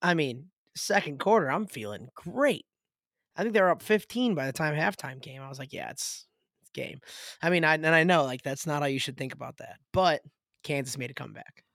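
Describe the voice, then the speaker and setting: A raspy-voiced American man co-hosting a casual sports podcast, telling stories in a jokey, profane way. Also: fairly high pitch, animated, fairly quick, fairly bright tone, dry recording, studio quality.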